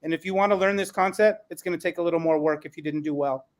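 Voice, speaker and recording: A man's voice: on the high side, fast talker. A man moderating a podcast panel, talking earnestly and conversationally as a parent about learning.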